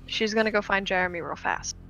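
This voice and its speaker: A woman's voice: medium pitch, quick pace, vocal fry, fairly bright tone, rough recording. A woman playing a tabletop roleplay, speaking casually and firmly in and out of character. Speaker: roleplay player.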